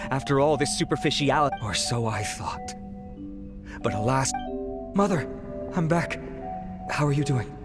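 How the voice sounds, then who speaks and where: A man's voice: mid-range voice, animated, normal speed, pauses often, music in the background, lightly acted. An actor playing a self-dramatizing, wistful researcher who tells his own story theatrically in a video game. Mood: proud, wistful.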